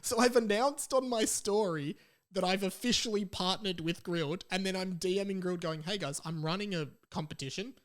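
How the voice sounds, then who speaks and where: An Australian man chatting casually as a solo podcast host, telling stories in an animated, playful, mock-dramatic way. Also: high voice, moderate pace.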